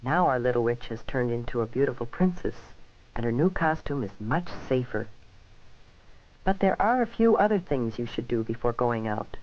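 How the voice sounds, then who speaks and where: A man's voice: medium pitch, lively intonation. Dull vintage film soundtrack, American male narrator. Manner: instructive, measured phrasing addressed to young people. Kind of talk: scripted narration, 1970s safety film.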